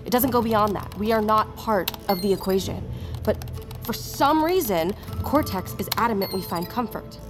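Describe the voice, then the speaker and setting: A woman's voice: medium pitch, normal speed, over music, natural voice. A woman playing a driven, commanding facility insider under pressure in an audio drama. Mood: tense, controlled. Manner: intense, assertive.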